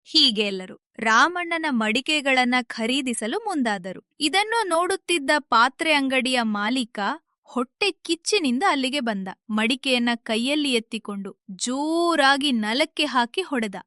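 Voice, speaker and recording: A woman's voice: sits high, animated, unhurried, sharp tone, no echo, studio quality. A woman narrating a scripted moral story.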